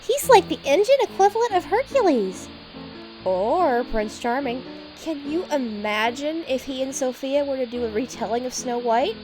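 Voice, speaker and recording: A woman's voice: sits high, animated, normal speed, a little mellow, soft background music, rough recording. A woman playing a gushing, gossipy female railway engine in an audio drama, playfully teasing.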